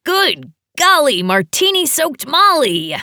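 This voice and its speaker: Woman acting out an exuberant American man, pitched up. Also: big pitch swings, slow, sharp tone, no room sound, studio quality, full character voice. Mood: playful, happy. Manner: effusive, cheerful. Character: exuberant, playful, friendly man, an old family friend.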